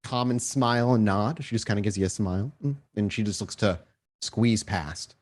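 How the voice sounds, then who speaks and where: A man's voice: on the low side, moderate pace, darkish tone. A man working as game master, improvising descriptive scene narration in a conversational way for a tabletop game.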